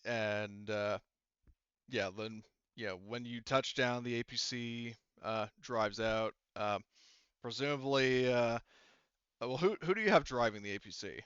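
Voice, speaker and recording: A man acting as game master in a casual tabletop roleplaying session, narrating and organizing in a halting way as he thinks aloud. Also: mid-range voice, fairly bright tone, smooth, no room sound, highs cut off.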